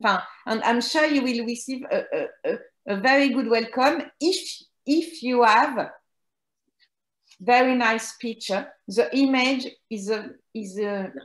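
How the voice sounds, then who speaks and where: A woman with a French accent, a native French speaker, explaining fashion PR haltingly with many fillers and restarts. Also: a little high, reverberant. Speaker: fashion PR guest. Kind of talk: hesitant conversational explaining.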